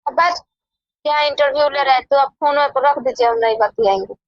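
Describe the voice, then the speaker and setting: A woman's voice: high voice, a little fast, no echo. A woman with a Bihari accent on a phone call, answering defensively with curt retorts in casual conversation. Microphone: telephone call. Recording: muffled lo-fi recorded phone call.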